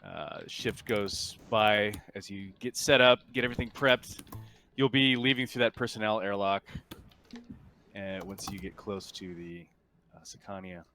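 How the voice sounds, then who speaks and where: Halting, informal male game master. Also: fairly low pitch, pushed and bright, slight background noise. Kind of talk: casual tabletop game narration.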